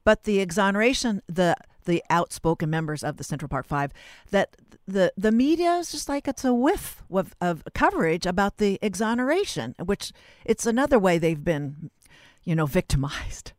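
A woman hosting a radio interview, putting questions and remarks together in halting phrases. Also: mid-range voice, animated, no room sound, clean studio sound. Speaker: radio show host and interviewer.

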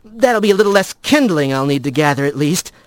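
A man's voice: a little high, expressive, brisk, dry recording, lightly acted. A man voicing a bitter, stubborn young male rat outcast with a sardonic, defensive manner in a storybook. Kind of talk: animal fantasy audiobook character.

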